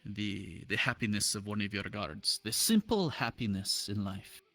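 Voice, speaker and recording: A man improvising in-character roleplay dialogue, speaking in a halting, reflective way with dropped articles. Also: fairly low pitch, animated, fairly bright tone, faint music behind. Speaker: roleplayer in an online game.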